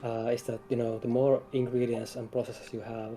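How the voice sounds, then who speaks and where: A man, a game developer guesting on a livestream, casually explaining things in a measured, slightly hesitant way. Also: medium pitch, level tone, mellow, faint music behind.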